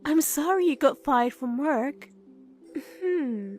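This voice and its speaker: Woman voicing a very high, cutesy anime young lady. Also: animated, slow, faint music behind. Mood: kind. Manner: gentle, cutesy, hesitant when embarrassed. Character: sweet, kind, easily flustered young woman. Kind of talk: anime-style manga dub acting.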